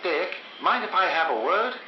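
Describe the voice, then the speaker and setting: An actor playing a cautious, conscientious American plant underling in an old-time radio drama, earnest and deferential. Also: male voice, sits high, lively intonation, normal speed. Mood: worried, respectful. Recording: echoey lo-fi radio drama, faint noise.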